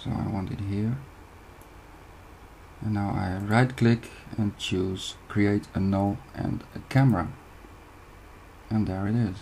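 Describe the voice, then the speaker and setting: Young Dutch-accented man, low gravelly voice, calm screen-recorded tutorial over faint hiss. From Netherlands. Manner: steady step-by-step instruction with short pauses. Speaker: video editing tutor.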